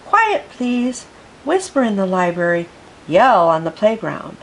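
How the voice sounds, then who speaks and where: A woman's voice: medium pitch, very animated, measured pace, a little hiss or hum. A woman reading aloud, voicing a strict female school librarian who was once a dragon and lectures the children on library rules. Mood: stern.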